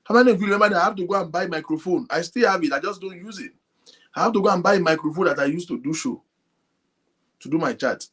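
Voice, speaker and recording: A man hosting an online talk show, speaking softly and addressing his viewers directly. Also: high voice, quick pace, slightly rough. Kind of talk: casual talk to a live audience. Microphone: phone linked to a computer.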